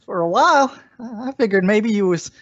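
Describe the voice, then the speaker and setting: A woman's voice: mid-range voice, expressive, a little fast, dry recording, natural voice. A young woman playing a timid, folksy caretaker with hesitant rustic speech in an old-time radio comedy. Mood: sheepish. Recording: dull, dry recording.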